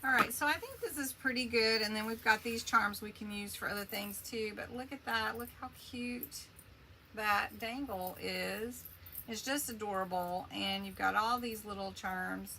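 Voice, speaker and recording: A woman's voice: medium pitch, moderate pace, sharp tone, a little hiss or hum. A woman hosting a casual craft livestream, chatting along in a rambling way as she works and answers viewer comments.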